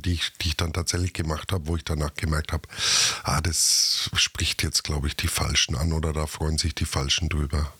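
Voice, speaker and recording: A man's voice: very deep voice, a bit bright, husky, dry recording. A man, a musician and cartoonist talking hesitantly in a casual podcast conversation, full of fillers and self-doubt. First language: German. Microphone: podcast microphone.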